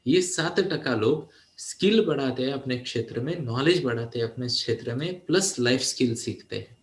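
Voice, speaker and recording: Brief: forties male mentor, mid pitch, coaxing talk in an echoey room. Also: moderate pace. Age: 40s. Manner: mixes English phrases into Hindi, asks "है ना?" often. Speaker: teenage mentor and coach. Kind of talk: motivational talk to young listeners.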